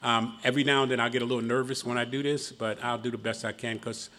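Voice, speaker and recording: A man's voice: mid-range voice, little pitch movement, fast talker, a bit bright. A middle-aged man from Philadelphia speaks with the accent of that city, telling his recovery story to a room of listeners. His talk is folksy and self-deprecating, and he chuckles at his own jokes. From Philadelphia, Pennsylvania. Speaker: guest speaker at a recovery meeting. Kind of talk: personal testimony speech. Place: meeting room before an audience.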